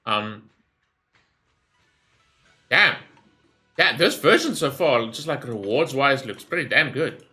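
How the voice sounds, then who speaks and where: A man's voice: medium pitch, very animated, slow, long pauses, sharp tone, faint music behind. A man giving casual gameplay commentary, rambling as he thinks aloud and swearing freely. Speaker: gaming streamer recording a reaction video.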